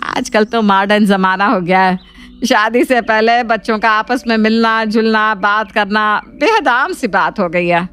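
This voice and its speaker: A woman's voice: mid-range voice, a little slow, fairly bright tone, clean tone, natural voice. A woman voicing a polite, agreeable middle-aged mother of a groom, speaking formally in a cartoon story. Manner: courteous, formal.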